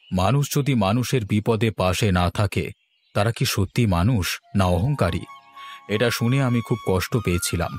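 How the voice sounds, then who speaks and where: A man's voice: fairly low pitch, darkish tone, natural voice. A man voicing a remorseful, kindly middle-aged village landlord in a cartoon, speaking earnestly and reflectively. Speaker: voice actor. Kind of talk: cartoon voice acting.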